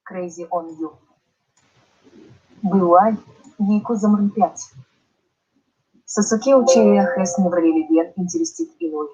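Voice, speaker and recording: A woman's voice: mid-range voice, measured pace, long pauses, dark tone, a little hiss or hum. A synthetic female text-to-speech voice with a Russian accent, reading a story aloud. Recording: dull lo-fi screen-share audio.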